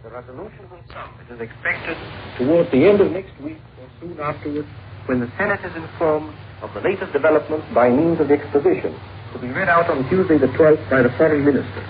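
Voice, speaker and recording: A man reading a formal scripted report as a foreign correspondent over a 1940s shortwave radio relay. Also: fairly high pitch. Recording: tinny, faintly noisy 1940s shortwave relay.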